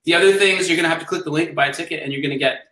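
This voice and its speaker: A man's voice: on the high side, very fast, sharp tone. A man who is a guest on a casual live video chat, promoting offers energetically and repeating them. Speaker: conference speaker, interview guest. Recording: roomy echo.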